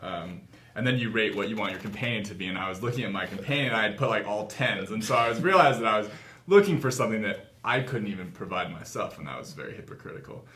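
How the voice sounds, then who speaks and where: A man's voice: on the low side, lively intonation, fast talker, a bit bright. A man in his twenties chatting casually as a guest in an interview, seated in a meeting room. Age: 20s. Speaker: author and guest. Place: small office meeting room, seated at a table.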